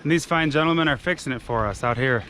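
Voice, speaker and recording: A young adult man vlogging a hike outdoors on a GoPro, talking loudly and casually with frequent swearing. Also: medium pitch, fast talker, slight background noise, rough recording. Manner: loud, chatty, swears casually. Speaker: vlogger hiking a trail. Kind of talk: casual hiking vlog chat. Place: outdoors on a forest trail. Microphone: GoPro camera.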